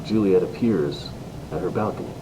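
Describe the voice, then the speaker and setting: A man reading out stage directions as the narrator of a play, in scripted narration. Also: moderate pace. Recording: distant mic, rumbling background noise.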